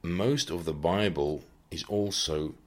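A man with a very low voice hosts a Bible teaching podcast. He talks off the cuff in an earnest way, often pausing to find the next word. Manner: earnest, searching for words as he goes. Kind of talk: unscripted teaching talk.

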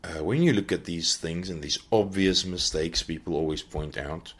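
A man hosting a podcast, giving an unscripted Bible teaching talk and often pausing to search for his words. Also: deep voice. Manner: earnest, searching for words as he goes.

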